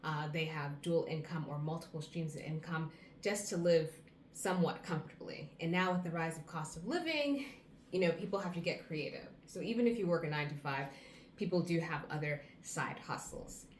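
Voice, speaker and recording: A woman's voice: low voice, normal speed. An American woman in her thirties, talking casually to camera and giving earnest advice, listing things off one by one. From United States. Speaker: vlogger. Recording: roomy echo, faint background hum.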